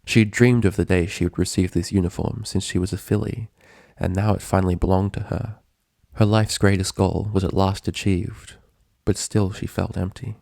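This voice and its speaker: Low, gravelly male story narrator. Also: warm tone, no room sound, studio quality. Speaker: narrator, fan fiction reader.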